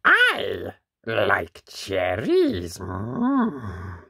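Storybook role: small possessive bat, played by a woman, theatrical and grumpy, very slow delivery, creaky edge, huge pitch swings. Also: wildly swooping pitch, bright, forceful tone, dry recording, studio quality, heavily acted. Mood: delighted, savouring. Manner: theatrical, drawn-out, emphatic. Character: grumpy, dramatic, possessive little cartoon bat. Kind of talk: children's picture-book read-aloud.

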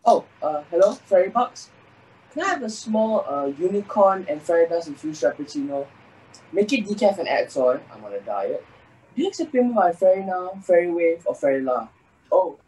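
A woman's voice: lowish voice, big pitch swings, moderate pace, dark tone. A teenage girl playing an affected, theatrical narrator of a fairy-tale show. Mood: fussy, casual. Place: bedroom. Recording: distant, noisy video call.

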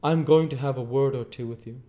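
A stern middle-aged lawyer, as a male reader puts him on, pressing every question like a cross-examination. His voice rides well above the reader's own, loud and insistent, with a mellow darker tone underneath. Clipped and emphatic, a storybook figure who always knows better. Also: mid-range voice, brisk, pitched above the performer's own voice, close to an everyday voice. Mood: interrogating.

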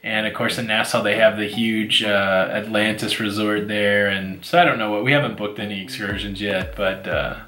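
Bright-voiced man in an echoey room. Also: fairly low pitch, fairly even intonation, normal speed, hardly any pauses, a little hiss or hum. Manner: rambling, many "uh" fillers, self-deprecating. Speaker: vlog co-host. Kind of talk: casual chat. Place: indoors, seated before a virtual backdrop.

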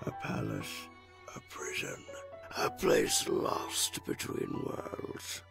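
A man voicing a solemn, cryptic cloaked guide with a gravelly, breathy whisper, in a dramatic comic dub. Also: very slow. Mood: ominous. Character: cloaked mysterious male being, solemn, ominous, protective guide. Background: music.

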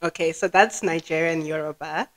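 A woman's voice: low voice, normal speed, a bit bright, dry recording. A woman in her thirties with a South African accent, speaking candidly as a podcast guest. She talks reflectively with frequent fillers and easy laughter. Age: 30s. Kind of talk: casual podcast conversation. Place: small indoor living room. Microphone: studio mic on a desk stand.

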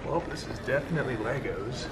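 A man's voice: mid-range voice, lively intonation, a little fast, a loud crowd. A young man chatting casually and joking on a day trip outdoors, heard from a distance off the camera. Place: outdoors at a monument. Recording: loud outdoor noise, voice from a distance.

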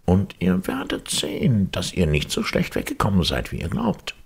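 A man voicing a sly, flattering cat servant from a storybook in an earnest, self-important, theatrical manner. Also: sits low, normal speed, vocal fry, slightly rough, voice pushed up, exaggerated voice. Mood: earnest, reassuring.

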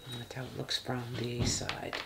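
A soft-spoken woman in her fifties, casually showing a handbag in her bedroom with halting speech and many fillers. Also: extremely low pitch, vocal fry, heavy background noise. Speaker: handbag vlogger. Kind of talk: casual product demo. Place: bedroom, seated on a bed. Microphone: external camera mic.

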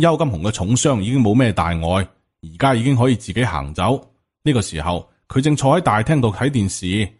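A man's voice: medium pitch, a little mellow, husky. A man with a Hong Kong Cantonese accent reads a novel aloud as a scripted audiobook narrator.

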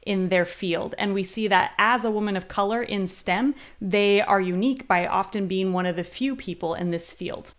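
A young woman, an admissions advisor, reading a sample essay aloud and explaining it in a clear, upbeat way. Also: mid-range voice, moderate pace, few pauses. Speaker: grad school admissions advisor. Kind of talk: reading and critiquing an essay on screen.

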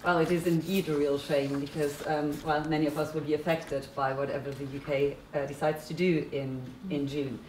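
A woman's voice: deep voice, fairly even intonation, normal speed, few pauses, a little mellow, a little hiss or hum. A woman in her thirties with a German accent, speaking as a panelist in a seminar room, earnest and emphatic. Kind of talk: panel discussion talk. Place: seminar room at a conference table. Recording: echoey room.

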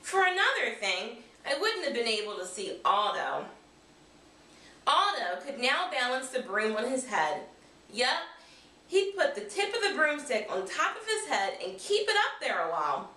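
Animated high-voiced woman reading a storybook. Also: normal speed. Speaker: guest storybook reader. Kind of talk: scripted storybook narration read aloud. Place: small furnished room, indoors. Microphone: camera mic across the room. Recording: roomy, distant camera microphone.

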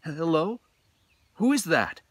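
Man voicing a timid, high-pitched blind musician. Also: big pitch swings, slow pace, slightly rough, no echo, exaggerated voice. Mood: startled, timid. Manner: stammering, timid. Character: gentle, frightened blind male musician, pleading and humble.

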